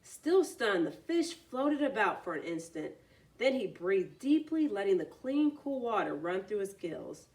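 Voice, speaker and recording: A woman storyteller reads aloud for children with a very lively, swinging intonation. She mixes narration with simple explanatory asides. Her voice is a little distant from the mic. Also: mid-range voice, measured pace.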